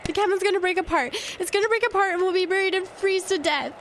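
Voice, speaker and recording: An actress playing a frightened, doubting, defiant fiancée in an audio drama, with emphatic phrasing. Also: female voice, very high-pitched, quick pace, a bit bright, a little hiss or hum. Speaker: actor. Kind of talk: audio drama acting with inner-monologue narration.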